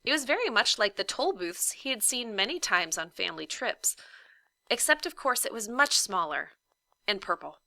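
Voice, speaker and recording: A woman reading a children's story aloud like a teacher, with deliberate, emphatic phrasing and dramatic hushes. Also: mid-range voice, big pitch swings, gravelly, dry recording.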